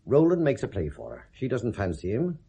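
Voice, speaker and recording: An actor playing a shrewd, sceptical British police detective in a 1970s radio drama, probing with dry, sardonic questions. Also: male voice, mid-range voice, huge pitch swings, brisk, warm tone, breathy edge, full character voice. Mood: sceptical, needling.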